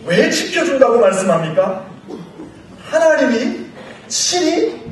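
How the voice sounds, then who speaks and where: A high-pitched male pastor with a cold preaches slowly and fervently, his voice swooping widely and swelling into shouts. He is heard from far off in a very echoey church hall, with faint music behind him. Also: a little hiss or hum. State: has a cold. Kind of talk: Sunday morning sermon. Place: church sanctuary.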